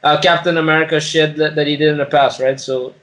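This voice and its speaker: A man's voice: a little high, fairly even intonation, quick pace, fairly bright tone. A man co-hosting a podcast, talking about a film in a blunt, slangy way full of swearing. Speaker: podcast co-host. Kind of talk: casual movie discussion.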